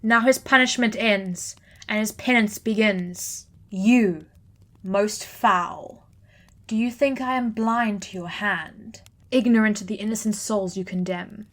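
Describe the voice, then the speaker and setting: A regal female deity of vengeance for an audio drama. She is imperious and wrathful, speaking in grand, formal declarations at a natural middle pitch. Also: takes it slowly, fairly bright tone. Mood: righteous anger.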